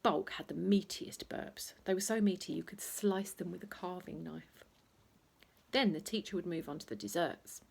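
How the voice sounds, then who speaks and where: A woman reading a children's bedtime story aloud in an expressive, playful way, acting out noises as she goes. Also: on the low side, moderate pace, vocal fry, husky. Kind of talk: animated bedtime story reading with sound effects and asides.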